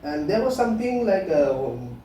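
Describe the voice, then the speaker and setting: A man's voice: on the high side, very animated, normal speed, dark tone. A man, co-hosting a podcast in casual chat, earnest and lecturing, full of "uh" and "you know". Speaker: podcast co-host. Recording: echoey room, far from the mic, faint hiss.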